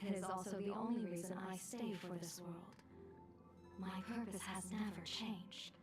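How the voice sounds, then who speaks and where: Actress doing calm formal fortune teller lady, game dialogue, mid pitch, music loud behind her. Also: far from the mic, close to an everyday voice. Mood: calm, resolute. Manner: measured, formal, eloquent. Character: composed female fortune-telling strategist, calm and resolute.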